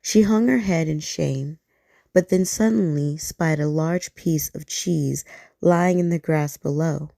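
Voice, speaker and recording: Woman storyteller for bedtime, low and gravelly, warm, dry close mic. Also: a little slow, no room sound. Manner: soft, gentle and soothing.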